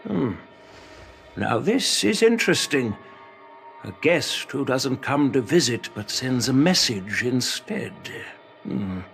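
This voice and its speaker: An actor playing an older, stern male general who lectures slowly and with measured weight. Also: gravelly, faint music behind, heavily acted. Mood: probing. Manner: measured, lecturing, lively pitch. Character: stern old male general, wise and commanding. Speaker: veteran general. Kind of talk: game voice acting.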